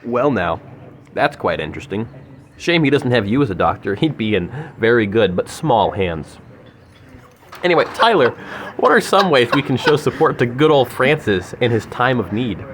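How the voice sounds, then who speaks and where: A man's voice: mid-range voice, sing-song, moderate pace, fairly bright tone, a little hiss or hum. A young man hosting a comic news segment with mock-formal, facetious delivery. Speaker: podcast segment host. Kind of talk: mock news anchor banter. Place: sushi restaurant. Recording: busy restaurant chatter behind.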